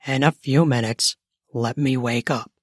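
A woman voicing a gruff, grumpy young man just woken from sleep, groggy and commanding. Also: rumbling low, steady tone, unhurried, no echo, clean studio sound, voice pushed down, full character voice. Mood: menacing.

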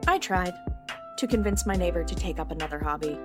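A woman's voice: on the low side, a little fast, music in the background, close to an everyday voice. A woman playing a petty, indignant neighbor in a wry, theatrical yet conversational comic monologue. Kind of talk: comic monologue in audio drama.

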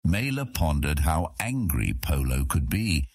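A man's voice: rumbling low, big pitch swings, a little slow, a little mellow, husky, dry recording, clean studio sound. A man narrating a fantasy audiobook in a dramatic, scripted style.

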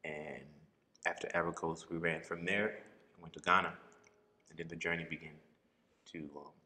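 Liberian-born man, low voice with a bright edge, relaxed interview guest. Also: moderate pace, big-room sound. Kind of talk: casual interview chat.

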